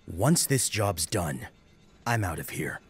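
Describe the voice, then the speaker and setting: A man's voice: sing-song, slow, husky, heavily acted. A man voicing an aloof young male mercenary in an audiobook, curt and detached. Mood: dismissive. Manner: curt, detached, low-key. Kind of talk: audiobook character acting.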